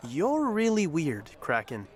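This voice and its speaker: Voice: medium pitch, huge pitch swings, takes it slowly. Actor as an awkward young adventurer, lilting voice. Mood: skeptical, casual. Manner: awkward, unassuming. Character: awkward, hesitant, good-natured young adventurer. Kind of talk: audio drama acting.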